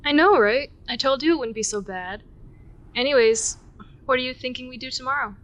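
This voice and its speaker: A woman's voice: sits high, a little fast, fairly bright tone, lo-fi sound. A woman playing an upbeat young investigator in an audio drama, casual and teasing in manner.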